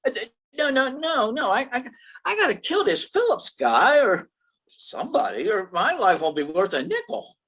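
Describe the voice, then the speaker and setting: A woman's voice: a little high, big pitch swings, a bit bright, husky, exaggerated voice. A woman playing a dim, insecure gangster gunman in a radio play, hesitant and stammering. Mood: awed, smitten. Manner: hesitant, stammering, slow-witted. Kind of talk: 1940s radio comedy-thriller acting.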